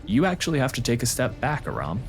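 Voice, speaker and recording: A man's voice: mid-range voice, huge pitch swings, fast talker, background noise. A man running a tabletop game as game master, narrating the action and calling for rolls.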